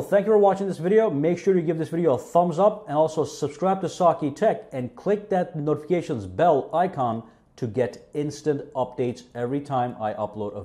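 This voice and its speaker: A man's voice: mid-range voice, dark tone. A man hosting a tech tutorial, narrating a casual how-to demonstration.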